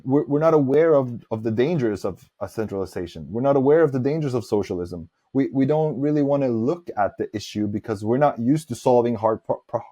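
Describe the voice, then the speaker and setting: A man's voice: mid-range voice, expressive, a little fast, few pauses, mellow. A man who grew up in Norway, talking reflectively and giving his opinions as host of a casual interview.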